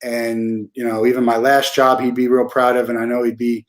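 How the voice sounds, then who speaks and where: A man's voice: medium pitch, level tone, normal speed. A man hosting a podcast interview, talking in an informal, chatty way with many fillers. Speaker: podcast host. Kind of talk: casual interview conversation.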